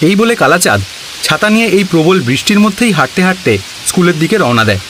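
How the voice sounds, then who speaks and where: A man's voice: high-pitched, sing-song, quick pace, background noise. A man narrating a scripted cartoon story as a storyteller.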